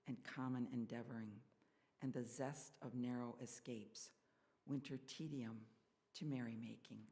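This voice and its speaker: Man, medium pitch, service leader, measured formal delivery, echoey hall with long reverb. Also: darkish tone. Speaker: service leader introducing the guest speaker. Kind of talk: measured reading and formal introduction to a congregation. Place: church hall.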